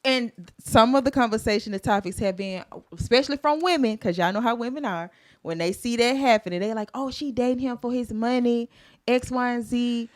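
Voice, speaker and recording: A woman's voice: fairly high pitch, fairly quick, dry recording, clean studio sound. A woman co-hosting a casual talk show, steering the topic and teasing the others. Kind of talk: casual chat.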